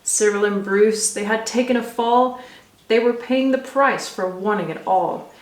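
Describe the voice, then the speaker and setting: Slow-paced woman reading in an echoey room. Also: mid-range voice. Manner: expressive, rhythmic rhyming delivery. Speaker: zookeeper reading a children's story. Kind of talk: scripted storybook narration for children.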